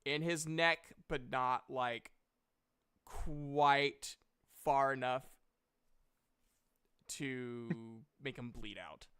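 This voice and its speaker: A man's voice: medium pitch, extremely slow, long pauses, bright, forceful tone, clear, resonant tone. A man casually narrating and refereeing a tabletop game as game master, with a self-conscious, apologetic manner.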